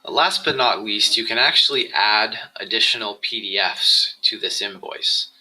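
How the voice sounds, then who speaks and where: A man presenting a software tutorial, explaining each step in a measured, instructional screencast style. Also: fairly low pitch, rough recording.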